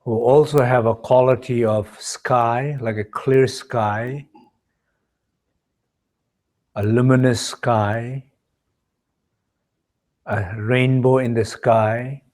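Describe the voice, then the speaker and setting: A man leads a guided meditation as a teacher, speaking in measured short phrases with pauses between them. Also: mid-range voice, steady tone, darkish tone. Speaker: meditation teacher leading a retreat.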